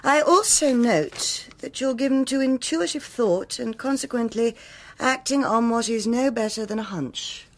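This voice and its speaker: An actress playing a cool, exacting police superintendent in a radio drama, with a formal, cutting, authoritative manner. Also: female voice, on the high side, measured pace. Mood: probing, disapproving.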